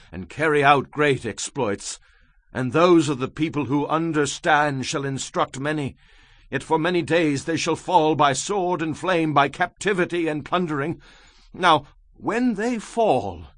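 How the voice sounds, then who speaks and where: A man's voice: mid-range voice, no room sound, lightly acted. A man performing a solemn prophet recounting apocalyptic visions in a theatrical, emphatic scripture reading. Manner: solemn, emphatic, theatrical delivery with dramatic swells and drops. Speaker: dramatized audiobook Bible reader.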